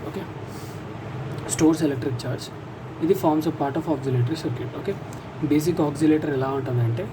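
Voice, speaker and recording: Telugu accent guy teaching, kinda high voice, far from the mic with traffic noise behind. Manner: explanatory, asks and answers own questions, slows to dictate English sentences. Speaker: teacher explaining a circuit diagram to students. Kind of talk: informal lesson, mixing Telugu with English terms. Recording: distant mic, noisy with vehicle sounds.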